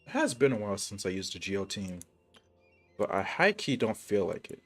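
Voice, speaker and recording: A man with a fairly low voice streams a game and chats casually to viewers. His delivery rambles, full of hesitation and slang. Music plays clearly enough to hear behind him. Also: sing-song, a little fast. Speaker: live streamer. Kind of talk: casual gaming livestream chat.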